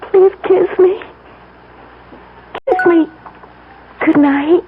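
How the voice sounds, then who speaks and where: Meek, high-voiced young bride, vintage radio. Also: female voice, drawn-out words, full character voice. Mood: submissive. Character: meek, trusting young bride, sweet and hesitant. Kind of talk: old-time radio mystery acting. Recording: tinny vintage radio broadcast, faint noise.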